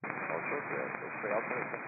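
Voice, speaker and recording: A man's voice: mid-range voice, expressive, brisk, creaky. A man speaking as a pilot, making terse, clipped readbacks over an aviation radio. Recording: tinny cockpit radio, static noise.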